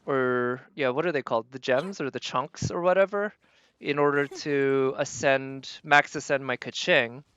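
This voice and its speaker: A woman giving casual livestream game commentary, thinking aloud in halting fragments as she plays. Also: rumbling low, fairly bright tone, clean tone, lo-fi sound. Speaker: game streamer.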